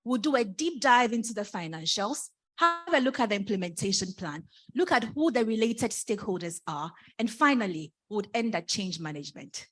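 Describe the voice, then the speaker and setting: A young woman from Ghana giving a composed, clearly structured team presentation. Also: mid-range voice, animated, normal speed, few pauses. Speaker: student presenter.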